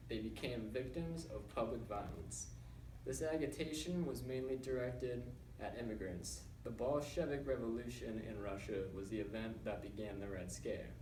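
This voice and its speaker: A man's voice: medium pitch, a little mellow. Young man reading a report, roomy and distant. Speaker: student news presenter in a class project. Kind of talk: scripted narration read as a newscast. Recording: roomy, distant mic with background noise.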